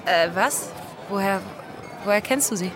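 A woman's voice: medium pitch, moderate pace, lightly acted. An actress playing a bold, cocky young woman pirate in a radio drama, playful and direct. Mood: surprised.

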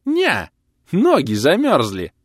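A man's voice: sits high, huge pitch swings, moderate pace, no echo, studio quality, voice pushed up. A man voicing a chatty, cheeky old male patient who boasts in sly, folksy speech for an audiobook. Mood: sly, triumphant.

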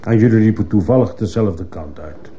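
An actor as a stern male judge with a very dark, mellow voice, pressing his questions in a radio play. The old recording is muffled and hissy. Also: lowish voice, moderate pace, close to an everyday voice. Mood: stern, probing. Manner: insistent, clipped questioning. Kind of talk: radio drama acting.

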